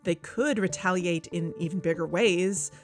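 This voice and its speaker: A woman's voice: lowish voice, normal speed, natural voice. A woman playing an investigative podcast host, narrating in a serious, conversational, scripted style. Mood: earnest, urgent. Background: background music.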